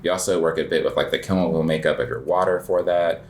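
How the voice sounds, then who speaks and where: A man talks in an easy, rambling way, full of little fillers and restarts. It sounds like a relaxed interview chat. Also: very deep voice, fast talker, a little hiss or hum. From United States. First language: English. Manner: relaxed, rambling, heavy filler words, self-deprecating laughs. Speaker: guest, cafe owner and coffee competitor.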